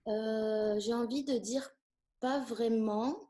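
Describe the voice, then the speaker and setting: Soft-toned Tunisian-born woman teacher. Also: a little high, fairly even intonation, slow. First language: Arabic. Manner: thoughtful, hesitant with frequent fillers. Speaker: French teacher. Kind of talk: conversational interview.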